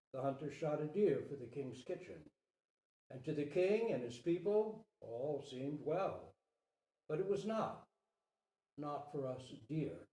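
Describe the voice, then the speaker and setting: A man tells a story theatrically and deliberately, in a mellow voice with wide swings of pitch. The recording sounds roomy, with the microphone at a distance. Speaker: storyteller, Zen teacher. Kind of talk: dramatic storybook reading.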